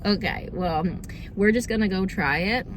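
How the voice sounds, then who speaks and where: A young woman with a slightly hoarse, under-the-weather voice, chatting casually and informally as she hosts a food review vlog. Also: medium pitch, moderate pace, background noise. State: slightly hoarse, getting over a cold. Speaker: host of a cookie review video series.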